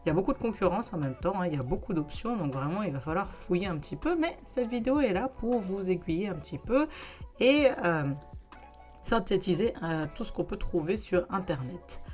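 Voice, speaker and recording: Chatty woman with a lowish voice. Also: expressive, brisk, darkish tone, soft background music. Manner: chatty, conversational, addresses viewers directly. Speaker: YouTuber giving practical advice. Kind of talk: casual explanatory vlog.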